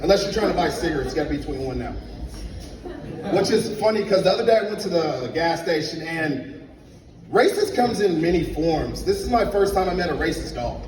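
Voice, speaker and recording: A young man from Liberia performing a stand-up comedy set in a club, telling animated stories and acting out both sides of the dialogue. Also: on the high side, a little fast, background noise. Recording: echoey club sound from a distance. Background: audience laughter.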